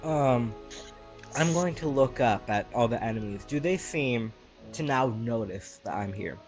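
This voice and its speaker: A man's voice: mid-range voice, lively intonation, measured pace, darkish tone, faint music behind. A man playing a tabletop game, casually saying what his character will do. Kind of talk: casual chat.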